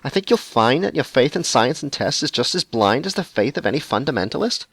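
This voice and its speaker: A man's voice: medium pitch, sing-song, brisk, a bit bright, slightly rough, dry recording, exaggerated voice. Man quoting a preachy, pert hippie woman. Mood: challenging. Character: earnest, pert New Age hippie woman arguing. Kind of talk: acted quote within a recited poem.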